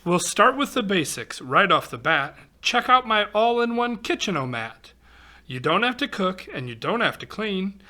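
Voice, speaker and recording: A man's voice: high voice, very animated, moderate pace, pushed and bright. A man reading a rhyming picture book aloud to children, expressively, with exclamations and chatty asides. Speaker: volunteer storybook reader for children.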